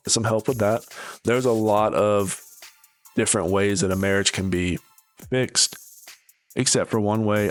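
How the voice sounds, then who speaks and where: A man's voice: fairly low pitch, clear, resonant tone. A man giving casual, opinionated reaction commentary as a video host, in a sardonic, dismissive manner. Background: background music.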